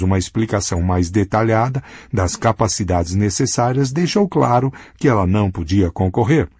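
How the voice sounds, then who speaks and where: A man with a husky voice reading a novel aloud as an audiobook narrator, giving characters small voice shifts in dialogue. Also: mid-range voice, warm tone, dry recording, studio quality. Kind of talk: scripted narration.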